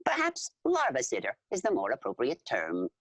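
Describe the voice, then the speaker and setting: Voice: mid-range voice, wildly swooping pitch, normal speed, a bit bright, no echo, studio quality. A performer voicing an earnest insectoid alien in an audio drama, speaking formally and literally in the third person. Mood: officious, eager. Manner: formal, literal, repeats full names, refers to self by name. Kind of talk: audio drama character acting and narration.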